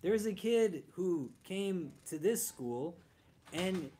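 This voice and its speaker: A man's voice: high voice, lively intonation, slow, dark tone. A man teaching a class for new Muslims in a loose, conversational way, often checking with "do you get what I mean?". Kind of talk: casual spoken class.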